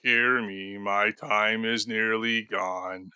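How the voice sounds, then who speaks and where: A man voicing a doomed, grieving male ghost bound in chains, speaking slowly and gravely for an audiobook. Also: lowish voice, steady tone, pushed and bright, dry recording, heavily acted. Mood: mournful. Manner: slow, solemn and grave. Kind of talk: audiobook character voice.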